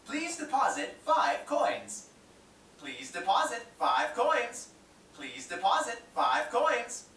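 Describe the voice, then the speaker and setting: A malfunctioning horror-game machine, very high and piercingly bright. It repeats itself mechanically in a roomy playback. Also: male voice, big pitch swings, slow pace, exaggerated voice. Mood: flatly insistent. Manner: mechanical, repetitive.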